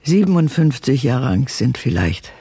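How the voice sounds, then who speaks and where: An older actress playing a gruff, guarded old woman, a retired doctor, brusque and wary, in a radio drama. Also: a bass voice, big pitch swings, moderate pace, mellow, heavily acted. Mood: wary, resigned.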